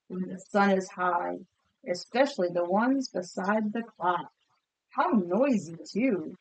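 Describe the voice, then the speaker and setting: A woman teacher reading a picture book aloud expressively and pausing to ask listeners questions. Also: medium pitch, rough recording. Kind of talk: storybook read-aloud with comprehension questions.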